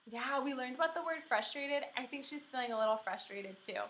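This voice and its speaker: A young American woman with a fairly high, very bright voice leads a lesson for small children. She is animated and encouraging, keeps her words simple and steers her listeners with questions. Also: a little fast, low-quality recording. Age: young adult. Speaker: elementary school teacher. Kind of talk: interactive children's read-aloud lesson. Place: small indoor room with whiteboard.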